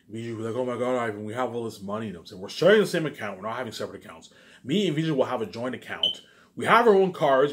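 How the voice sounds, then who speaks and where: A man in a casual, rambling monologue, boastful and repetitive, with emphatic bursts that trail off into muttered tags. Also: fairly low pitch. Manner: repetitive, keeps restating phrases and tagging sentences with catchphrases; boastful, self-aggrandizing.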